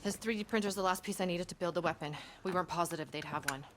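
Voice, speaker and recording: A woman's voice: on the low side, rapid-fire, close to an everyday voice. A woman playing a driven resistance fighter from the future in a game cutscene, intense, solemn and insistent. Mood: resolute. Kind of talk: video game cutscene acting. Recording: loud music behind the voice. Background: loud background music.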